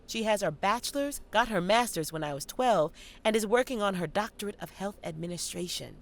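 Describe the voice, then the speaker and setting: Wry, animated young American theater student, played by an actress. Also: female voice, mid-range voice, brisk, lightly acted. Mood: candid, proud. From Detroit, United States. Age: young adult. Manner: theatrical, sardonic, swinging between confiding and performing. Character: savvy, wry young woman theater student hustling for scholarships. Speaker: actor in an audio play. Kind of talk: dramatic monologue to the audience.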